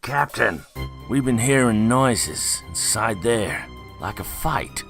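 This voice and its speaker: An actor playing a wary soldier at a sentry post in a comic book dub. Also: male voice, medium pitch, lively intonation, slow pace, vocal fry, a bit bright, faint music behind, heavily acted.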